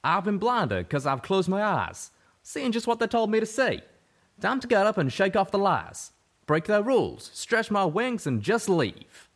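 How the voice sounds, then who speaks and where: A man voicing a brash male pegasus who belts out a loud duet part. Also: high-pitched, very animated, a little slow, voice pushed up, full character voice. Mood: belting. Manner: belting a song, loud.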